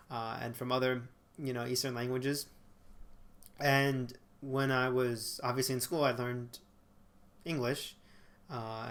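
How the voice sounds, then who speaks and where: A man's voice: mid-range voice, fairly bright tone. A young man in his twenties talking casually to a webcam in a small bedroom, with frequent fillers and self-corrections. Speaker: vlogger. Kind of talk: casual talking-head monologue.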